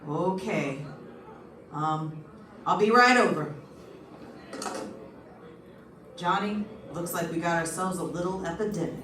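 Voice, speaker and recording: A woman's voice: lowish voice, sharp tone, heavily acted. Lady playing a cautious weary homicide cop in a radio play, echoey and off mic. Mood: brisk, grim. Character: matter-of-fact police homicide lieutenant, cautious and world-weary. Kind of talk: old-time radio detective acting. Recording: echoey stage, distant mic.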